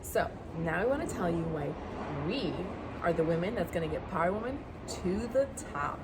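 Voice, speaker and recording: Bubbly woman co-founder hyping her product, warehouse noise behind her. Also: fairly low pitch. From Colombia. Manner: energetic, presenting to camera. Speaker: brand co-founder. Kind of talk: upbeat product pitch video.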